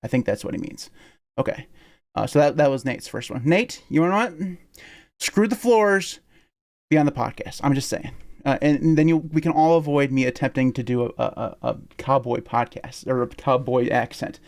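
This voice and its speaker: A man's voice: medium pitch, normal speed, creaky, darkish tone. Male podcast host, chatty, giggly, rambling. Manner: laughs often, rambling with restarts. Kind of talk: casual podcast chat.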